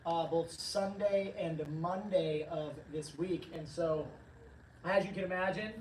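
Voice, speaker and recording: A hoarse man hosting a casual livestream, upbeat and rambling as he reads chat comments aloud. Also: high voice, steady tone, unhurried, darkish tone, slight background noise. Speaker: livestream host, training company staff. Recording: roomy, distant from the mic.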